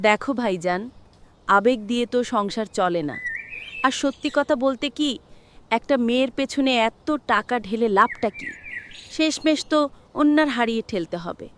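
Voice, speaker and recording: A woman's voice: a little high, lively intonation, moderate pace, a little hiss or hum, natural voice. A woman playing a stingy, dismissive middle-aged aunt in a cartoon drama, with a blunt manner. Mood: dismissive, scornful.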